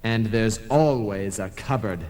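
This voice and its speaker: A man's voice: on the low side, a little slow, a little mellow, close to an everyday voice. A man playing an officious, preachy ghost messenger in an old-time radio play, theatrical and formal. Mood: ominous warning. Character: officious, preachy male ghost messenger, cautioning his brother. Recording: long reverb, analogue radio broadcast tape.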